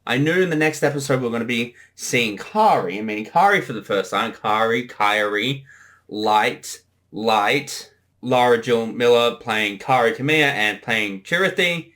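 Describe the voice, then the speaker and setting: Bright, lively man in casual commentary. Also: medium pitch, measured pace. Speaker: series creator giving commentary.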